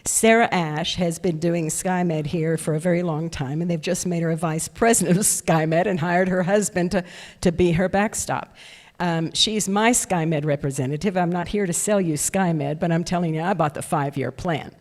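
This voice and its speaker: A woman's voice: on the low side, normal speed, darkish tone. An older woman speaking at a club meeting, telling her personal story casually and introducing a guest speaker. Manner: chatty storyteller, laughs at her own story. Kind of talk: casual talk with personal anecdotes. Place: indoor meeting room. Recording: long reverberant room sound.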